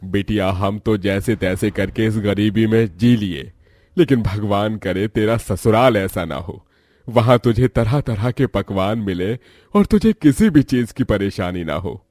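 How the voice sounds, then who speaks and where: A man's voice: lowish voice, lively intonation, a little slow, a little mellow, no echo, clean studio sound. A man voicing a weary, loving middle-aged father from a poor family in a cartoon story. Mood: fond, sorrowful. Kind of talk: Hindi cartoon story voice acting.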